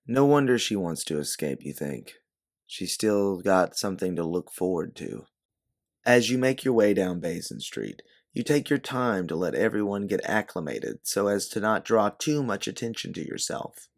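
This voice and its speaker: A man's voice: on the low side, very animated, normal speed. A man narrating a scripted second-person story as an audiobook-style storyteller. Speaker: narrator and author.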